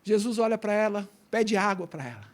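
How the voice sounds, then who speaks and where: A Brazilian man, a pastor, preaching a sermon in a church and telling stories, dropping to a hush and then rising loud. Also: high voice, animated, a little fast. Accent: Brazilian Portuguese. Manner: storytelling preacher, swings between hushed and loud. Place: church sanctuary.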